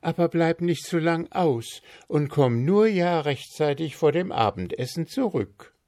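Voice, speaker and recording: A man's voice: fairly high pitch, expressive, unhurried, warm tone, dry recording, studio quality, pitched above the performer's own voice. A man doing a meek storybook wife. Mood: kindly. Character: bogeyman's wife, meek, fond of pudding.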